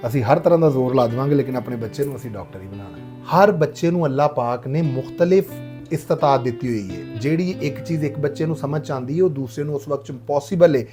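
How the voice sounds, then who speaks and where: A man's voice: mid-range voice, natural voice. Patient Pakistani teacher played by a man, Punjabi accent, mid-range voice, explanatory moral-drama delivery, music behind. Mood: calm, reasoning. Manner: polite, measured, explanatory. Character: patient, reasonable male schoolteacher explaining to parent. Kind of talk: acted moral-story drama.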